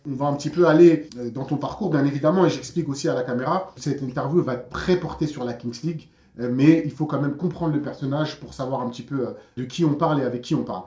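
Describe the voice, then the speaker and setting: A man hosting a casual interview, quick and chatty with many fillers and restarts. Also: mid-range voice, warm tone, roomy sound. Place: outdoors in a neighbourhood. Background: friends chanting nearby.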